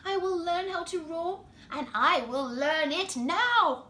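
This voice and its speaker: Lady doing a little squeaky boy mouse from a kids book, timid but eager, really high, roomy sound. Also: expressive, slow pace, pushed and bright, full character voice. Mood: determined. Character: tiny timid male mouse longing to be brave. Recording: roomy, echoey living room with noise.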